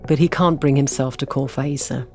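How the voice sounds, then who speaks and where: A man's voice: mid-range voice, steady tone, moderate pace. A man reading scripted narration for a narrative radio documentary. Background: music bed.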